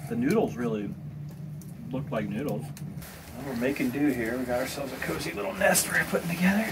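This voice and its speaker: A man's voice: mid-range voice, a little fast. An American man in his thirties chatting casually, with an easygoing, wry manner. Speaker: vlogger. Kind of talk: casual chat. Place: small wooden log hut. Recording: noisy camera audio, sizzling snow-melt noise.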